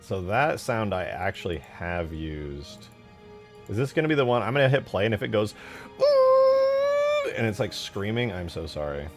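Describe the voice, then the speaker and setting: A man's voice: lowish voice, very animated, moderate pace, loud music behind the voice. A man chatting casually as a streamer at work, thinking aloud in informal, slangy fragments with casual swearing. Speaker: game modder streaming their work. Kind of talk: casual livestream chat while working.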